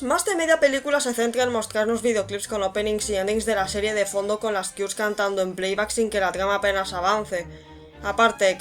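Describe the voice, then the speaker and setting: Female, Spain accent, low-set voice, scripted review narration, blunt and informal, faint music behind.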